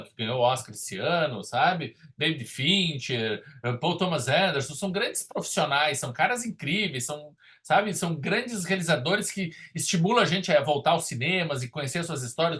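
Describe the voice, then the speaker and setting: A man's voice: on the high side, fairly bright tone. A man with a southern Brazilian accent hosting a casual film discussion, speaking in an emphatic, discursive way with frequent checking tags. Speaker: podcast host.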